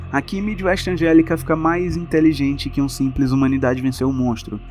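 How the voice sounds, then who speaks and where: A man with a Brazilian accent narrates a scripted lore explainer, adding wry, dryly humorous asides. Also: medium pitch. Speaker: video essay narrator.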